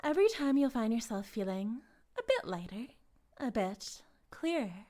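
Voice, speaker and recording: A woman playing a possessive, self-righteous cult leader in an intimate audio roleplay, cooing and condescending, sometimes breathy, speaking directly to the listener. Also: fairly high pitch, very animated, normal speed, warm tone. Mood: smug, coaxing. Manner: theatrical, cooing, condescending, drifting into breathy whispers.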